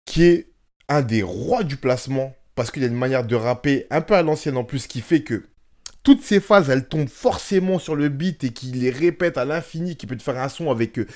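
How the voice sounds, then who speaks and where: A man's voice: medium pitch, animated, moderate pace, darkish tone. A man hosting a casual interview, thinking aloud with frequent hesitations. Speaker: host, interviewer. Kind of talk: casual conversation.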